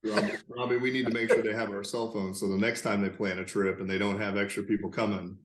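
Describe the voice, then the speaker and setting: A man's voice: fairly low pitch, little pitch movement, fast. Distant, echoey man bantering casually. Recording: echoey, far from the mic.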